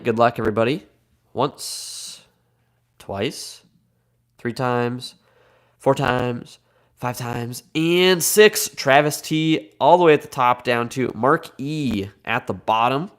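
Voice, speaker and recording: A man's voice: mid-range voice, takes it slowly. A man with a Midwestern American accent hosting a live card break, slightly congested from a cold, chatty and upbeat as he reads out names and teams.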